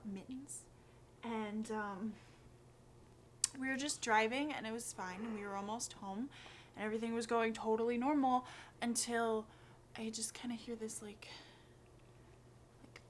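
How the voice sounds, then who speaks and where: A woman acting a monologue as an anxious, guilt-ridden young woman who confesses in a halting, rambling, neurotic way, full of fillers. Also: a little high, fairly bright tone. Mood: uneasy, hesitant. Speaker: actor. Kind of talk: acted confessional monologue, conversational. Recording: clearly noisy background ambience.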